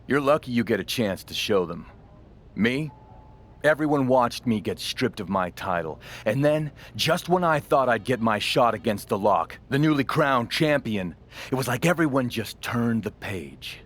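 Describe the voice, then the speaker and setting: An actor playing a boastful veteran wrestler in a video game, with theatrical, emphatic delivery and drawn-out phrases. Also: male voice, medium pitch, expressive, lightly acted. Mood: bitter, aggrieved. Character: boastful veteran male wrestler with a cheery, wide-eyed alter ego. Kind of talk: scripted video game cutscene acting.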